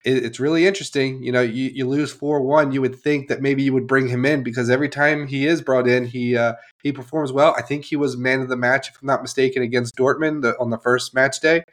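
A man with a mid-range voice hosts a sports podcast in a relaxed, chatty way. He sounds a little nasal from a lingering cold. His delivery is upbeat and he laughs easily. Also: mid-range voice, brisk, hardly any pauses. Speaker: podcast host. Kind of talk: casual sports podcast chat.

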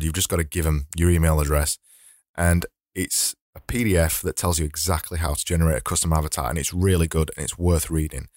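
A man hosting a casual solo podcast, his voice slightly hoarse and fading, rambling and correcting himself as he talks. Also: deep voice, no room sound, studio quality. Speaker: podcast host.

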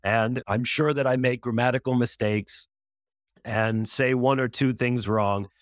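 A man's voice: medium pitch, steady tone, measured pace, dry recording, studio quality. A man on a casual panel discussion, speaking earnestly and encouragingly.

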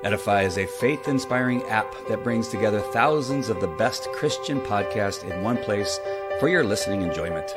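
A man reading a scripted podcast network promo in a smooth, polished announcer delivery. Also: fairly low pitch, animated, moderate pace. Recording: promo with loud music bed. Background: loud music.

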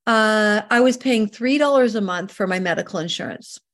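An earnest middle-aged woman from Canada speaks as an interview guest, with a voice of ordinary pitch for a woman. She is emphatic and sometimes slows down deliberately to make a point. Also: fairly bright tone. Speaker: guest, holistic healer. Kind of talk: podcast interview answers.

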